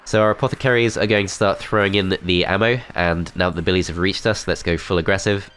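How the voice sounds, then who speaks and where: A man with a cold and a blocked-up, nasal voice, calmly talking through his moves in a strategy game. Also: low-pitched, quick pace, faint music behind. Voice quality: nasal, congested. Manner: calm, methodical, thinking aloud. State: has a cold, blocked-up nose. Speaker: gameplay commentator. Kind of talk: strategy game let's play commentary.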